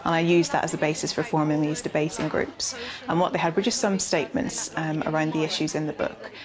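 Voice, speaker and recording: A woman's voice: deep voice, little pitch movement, brisk, crowd noise. A woman explaining things informally in an interview, as a teacher. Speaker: English teacher.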